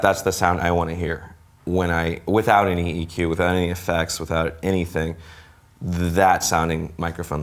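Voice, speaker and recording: A man with a nasal voice, hosting a casual studio conversation and thinking aloud as he goes. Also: deep voice. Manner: rambling, self-correcting, thinks aloud. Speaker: musician and show host.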